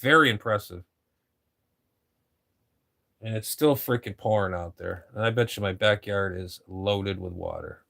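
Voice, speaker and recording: A man's voice: lowish voice, a little slow, gravelly, pauses often, bright, forceful tone. Rambling fifty-something ham radio guy. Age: 50s. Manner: rambling, swears casually, repeats words. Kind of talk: casual radio chat.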